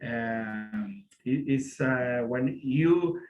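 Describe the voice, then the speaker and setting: A man with an Argentine Spanish accent speaking English as a second language, chatting casually, often pausing and restarting to find his words. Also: mid-range voice, roomy sound, phone-quality sound, slight background noise. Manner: halting, searching for words, many fillers and restarts. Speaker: telescope equipment seller. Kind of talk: casual chat on a video call.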